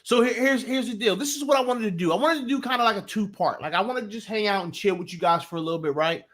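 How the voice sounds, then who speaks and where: A man's voice: high voice, quick pace, a bit bright. A young man from New Jersey with a Jersey accent, hosting a casual live sports talk stream. He talks loosely and profanely, restarting sentences and greeting his chat.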